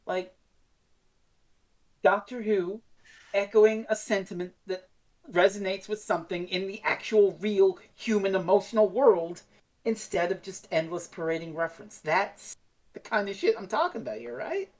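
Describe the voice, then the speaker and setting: A woman's voice: medium pitch, lively intonation, normal speed, a little mellow. A woman co-hosting a podcast in casual, reflective discussion, earnest, feeling her way through phrases and sometimes swearing. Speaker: podcast co-host.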